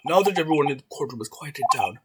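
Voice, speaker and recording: A man's voice: fairly high pitch, animated, fast, background noise, lightly acted. A man voicing a solemn, easily baffled old male judge in a video game fandub, speaking formally and ponderously. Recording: music behind the voice.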